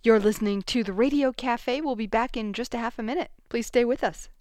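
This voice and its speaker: A woman's voice: on the high side, brisk, darkish tone. A woman hosting a radio program, asking her guests curious questions and reading announcements. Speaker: radio host.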